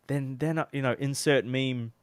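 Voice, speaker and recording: Chatty New Zealand man, crisp dry voice. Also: mid-range voice, steady tone, moderate pace, dry recording. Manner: chatty, loose, lots of fillers and restarts. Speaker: online business coach. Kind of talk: casual advice vlog to camera.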